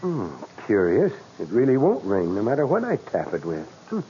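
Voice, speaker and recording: An actor playing a skeptical, mild-mannered doctor and indulgent husband in a 1940s radio mystery, wry and a little hesitant. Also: male voice, on the low side, moderate pace, background noise. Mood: curious, uneasy. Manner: wry, hesitant, lively intonation. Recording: dull old 1940s radio recording.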